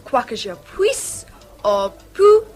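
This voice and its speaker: An actress with an English accent playing an excitable, gushing girl in her late teens in a radio drama. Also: high-pitched, slow, faint music behind, exaggerated voice. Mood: excited, delighted. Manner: gushing, girlish. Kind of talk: British radio drama acting.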